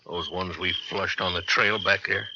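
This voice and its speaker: An actor playing a rough, goading drifter outlaw in a 1950s radio western, with folksy, needling talk. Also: male voice, deep voice, steady tone, moderate pace. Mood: folksy, uneasy. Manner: folksy, needling, rustic phrasing. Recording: dull 1950s radio with faint noise.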